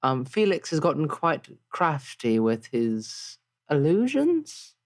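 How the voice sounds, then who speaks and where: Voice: mid-range voice, huge pitch swings, slow, full character voice. A player voicing a genteel, breezy crew member in a tabletop game, speaking in a polite, understated, wry way. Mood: breezily evasive.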